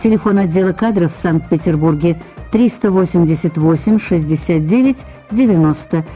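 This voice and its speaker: A woman's voice: fairly low pitch, normal speed, mellow, faint music behind. A woman radio announcer reading a scripted job advertisement.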